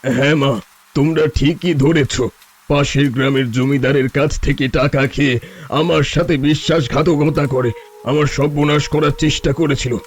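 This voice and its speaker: A man's voice: a little high, fairly even intonation, moderate pace, close to an everyday voice. A man voicing a weak, betrayed older holy man in a cartoon, speaking in a tired, pleading, sorrowful way. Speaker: voice actor. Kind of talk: cartoon voice acting.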